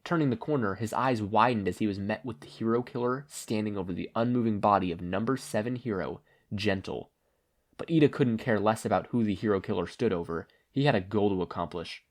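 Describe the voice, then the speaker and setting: A man's voice: fairly low pitch, a little fast. A man reading a story aloud in a scripted narration style. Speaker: podfic reader.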